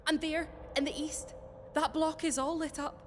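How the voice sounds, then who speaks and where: A woman's voice: high-pitched, level tone, normal speed, long reverb tail, slight background noise, natural voice. An actress playing a brave, steadfast woman of the resistance in an audio drama, earnest and reassuring. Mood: excited wonder. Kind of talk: fiction podcast audio drama acting.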